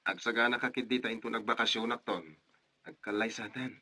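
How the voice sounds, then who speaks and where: An attentive long-distance boyfriend in a radio drama. His mid-pitched voice is very bright and sharp, recorded dry. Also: level tone, fairly quick, no echo. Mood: reassuring. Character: attentive long-distance sweetheart calling from abroad. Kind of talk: Ilocano radio drama acting.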